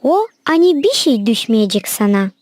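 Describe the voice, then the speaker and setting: A woman's voice: on the high side, very animated, normal speed, darkish tone, no echo. A woman with a Gagauz accent voicing a sensible, knowledgeable young girl in a children's cartoon. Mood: calm, informative.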